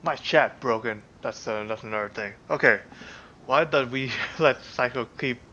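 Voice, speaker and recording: A man's voice: mid-range voice, moderate pace, sharp tone. A man livestreaming a game, chatting casually and commenting as he plays. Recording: dull lo-fi mic, faint game music.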